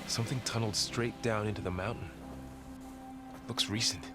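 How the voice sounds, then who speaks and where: Man acting a low-voiced, easygoing adventuring companion. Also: fairly even intonation, normal speed, close to an everyday voice. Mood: calm, curious. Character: calm, curious male companion on an expedition. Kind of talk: video game voice acting. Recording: game music behind the voice.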